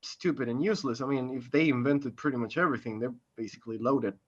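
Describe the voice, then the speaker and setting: A man from Argentina with an Argentine Spanish accent, a native Spanish speaker, joining a casual group chat with halting, repeated words. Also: medium pitch, dull audio, slight background noise. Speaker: panelist.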